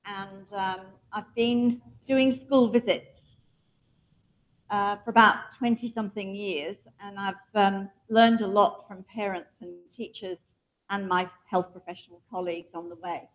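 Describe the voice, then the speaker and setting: A woman gives a talk in a lecture hall, her voice pitched at a middle level. She speaks in a chatty way and keeps turning to the audience with questions. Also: steady tone, unhurried. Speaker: diabetes educator presenting.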